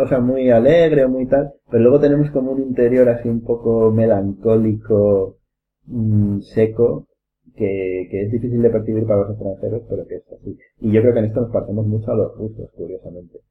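A man's voice: mid-range voice, steady tone, fairly quick. A man with a Castilian Spanish accent explaining his opinions in a casual group discussion. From Spain. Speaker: podcast host. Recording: muffled audio with faint hum and noise.

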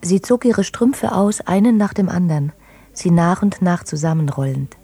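A woman narrates literary prose in a dark, gravelly voice, recorded very dry. She phrases deliberately, in measured short units. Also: fairly low pitch, animated, no echo.

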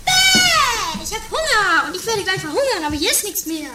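A woman's voice: extremely high pitch, very animated, moderate pace, far from the mic, a crowd in the background. A woman playing a lazy, whiny, ever-hungry household cook in an amateur comedy radio play. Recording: roomy, slightly noisy amateur tape.

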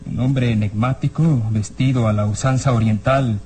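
Actor as a wary police inspector, mid-pitched. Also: male voice, steady tone, dark tone, natural voice. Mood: suspicious. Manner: wary, halting interrogation. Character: suspicious, questioning male police inspector. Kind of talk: radio drama acting. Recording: faintly noisy, humming old radio recording.

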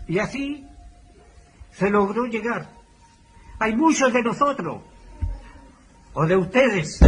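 A man's voice: a little high, a bit bright, poor audio. An older man with a Chilean accent giving commemorative testimony, speaking in short, emphatic, declamatory phrases, partly from notes. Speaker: community elder giving testimony. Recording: clear background noise.

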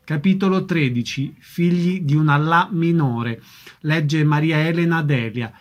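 A male radio host with a somewhat high-pitched voice. He presents from a script and puts questions in the manner of a broadcast interviewer. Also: unhurried.

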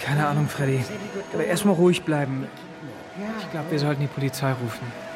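A man's voice: fairly high pitch, brisk, over loud music, natural voice. An actor playing a blunt but level-headed young village man, with slangy, colloquial radio drama dialogue. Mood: tense, calming.

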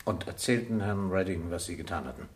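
A man's voice: deep voice, fairly quick, slight background noise, close to an everyday voice. An actor in a German radio crime drama playing a male police inspector, formal, probing and dryly insistent. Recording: echoey room sound.

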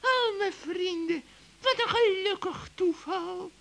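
Guy doing a super high shrill old witch, sly, whiny and sucking up, kids radio play. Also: creaky, sharp tone, a little hiss or hum, heavily acted. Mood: fawning, wheedling. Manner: drawn-out pleading and fawning.

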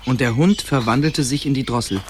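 A man's voice: medium pitch, fairly quick, natural voice. A man narrating a radio play in a scripted storytelling style.

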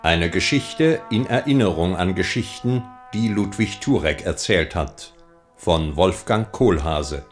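A man announcing the title and author of a radio play in a scripted, measured way. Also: deep voice, expressive, soft background music.

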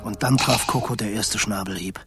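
An actor playing a stork who tells a bird's adventure in an expressive storytelling style for a radio play. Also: male voice, fairly low pitch, moderate pace, close to an everyday voice. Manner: expressive, acts out the birds he quotes. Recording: music behind the voice. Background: music.

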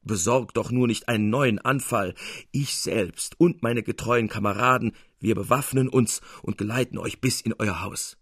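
A man voicing an ageing, flattering theatre owner in a story reading, pleading with theatrical humility in a husky voice. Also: mid-range voice, normal speed, no room sound, studio quality. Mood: insistent pleading. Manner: pleading, theatrically humble, ingratiating. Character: flattering, scheming theatre owner, somewhat elderly.

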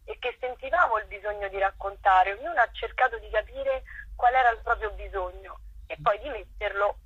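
A woman's voice: medium pitch, brisk, a bit bright, breathy edge, dry recording. A woman giving earnest, articulate and detailed explanations as a theatre director in an interview, in muffled phone-in sound.

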